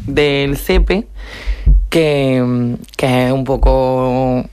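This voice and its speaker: A woman of twenty-two, giving a casual, halting account as an interview guest. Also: very deep voice, fairly even intonation, mellow, clean tone, no echo. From Córdoba, southern Spain. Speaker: interview guest, youth program participant.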